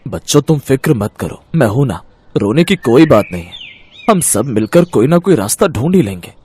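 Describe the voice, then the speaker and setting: A man playing kindly, protective village men in a moral story, earnest, with a husky voice. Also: medium pitch, sing-song, normal speed, darkish tone. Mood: reassuring.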